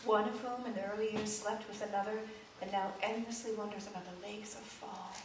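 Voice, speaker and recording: A woman's voice: medium pitch, fairly even intonation, a little fast. A woman giving a dramatic reading of a literary story in a live theater. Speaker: reader in a live staged reading. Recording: echoey hall, distant, faint noise.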